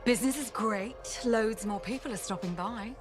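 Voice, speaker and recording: An actress playing a breezy, shady shopkeeper in a video game, with a sly, dry, teasing manner. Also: female voice, fairly high pitch, moderate pace, natural voice. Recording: music behind the voice.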